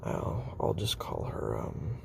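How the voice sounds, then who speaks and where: Weary, guarded former hitman, played by a man, audio roleplay confession; heavy vocal fry, halting breathy delivery, clearly noisy tape. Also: lowish voice, huge pitch swings, heavily acted. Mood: haunted, halting. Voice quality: very gravelly, fry-laden, breathy murmur. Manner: halting, hesitant, self-doubting, trailing pauses.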